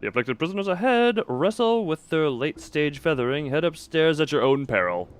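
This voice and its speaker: A man's voice: fairly high pitch, big pitch swings, brisk, smooth. A man doing casual live game commentary as a streamer, reading text aloud and adding wry, muttered asides.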